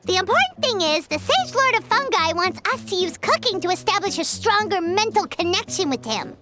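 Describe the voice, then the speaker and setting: A woman playing a bubbly, earnest and excitable young heroine in a video game, speaking in animated exclamations. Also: extremely high pitch, brisk, music in the background. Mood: cheerful, eager.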